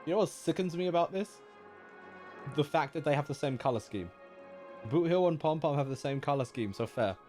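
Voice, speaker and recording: A man's voice: a little high, animated, brisk, a little mellow. A man reacting to a game on a live stream, casual, joking and slangy. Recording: faint game music behind.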